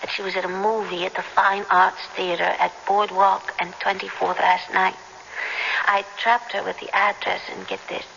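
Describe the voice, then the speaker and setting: Gravelly, shrewd woman detective. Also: medium pitch, level tone, moderate pace, a bit bright. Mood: brisk, triumphant. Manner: probing, hard-boiled, self-assured. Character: brisk, shrewd, suspicious female police detective. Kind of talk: 1970s radio drama acting. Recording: dull, noisy old radio broadcast, reverberant.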